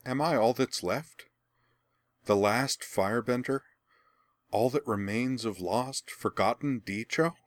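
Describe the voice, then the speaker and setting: A man's voice: mid-range voice, slow, no echo, pitched above the performer's own voice, natural voice. A man reading a novel aloud, voicing a proud young princess who has lost everything and rants in anguish. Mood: plaintive. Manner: ranting, raw and anguished.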